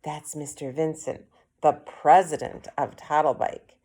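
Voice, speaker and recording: A woman's voice: deep voice, level tone, unhurried, warm tone, husky. A friendly female secretary from a children's story, as a woman narrator reads her. She is helpful and explains things in simple, everyday speech.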